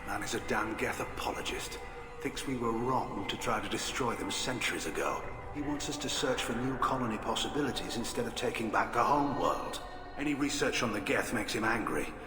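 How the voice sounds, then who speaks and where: An actor playing a gruff, hawkish middle-aged alien admiral in a video game, blunt, clipped and wry. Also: male voice, mid-range voice, lively intonation, fairly quick, lightly acted. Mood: scornful. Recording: echoey hall, music behind.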